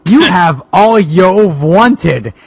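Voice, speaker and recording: A high, raspy monster-wrestler hero put on by a man, earnest and indignant. He speaks haltingly with melodramatic swoops, recorded close and dry. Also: expressive, no room sound, heavily acted. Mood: strained calm.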